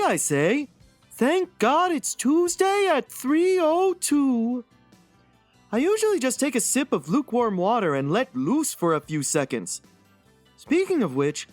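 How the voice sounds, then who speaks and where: A woman's voice: a little high, dry recording. A woman voicing a gloomy, pedantic oddball blogger in an earnest, fussy, theatrical dramatic reading.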